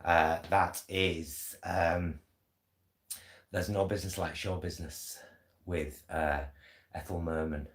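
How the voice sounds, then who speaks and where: British male vlogger, lowish voice, unhurried hesitant rambling chat, echoey indoor sound. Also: fairly even intonation. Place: indoors at home. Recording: echoey room.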